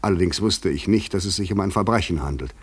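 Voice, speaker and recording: Tiefe, raue Stimme eines getriebenen Mannes. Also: male voice, deep voice, expressive, quick pace, slightly rough. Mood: provocative, insistent. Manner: articulate, rhetorical, insistent. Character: overwrought, weary, probing male fugitive intruder. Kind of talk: German literary radio play acting.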